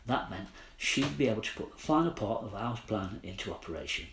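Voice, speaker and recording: A man's voice: lowish voice, lively intonation, a little fast, fairly bright tone. A man narrating a children's audiobook with dramatic storytelling, recorded in a roomy space. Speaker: author narrating own audiobook. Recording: roomy, faint background noise.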